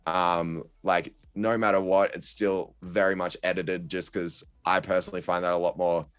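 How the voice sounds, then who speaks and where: Young male podcast guest, very low pitch, halting and easygoing. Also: level tone, fairly bright tone, clear, resonant tone. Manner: hesitant, many ums and restarts, laughs easily. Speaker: guest content creator. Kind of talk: casual podcast chat.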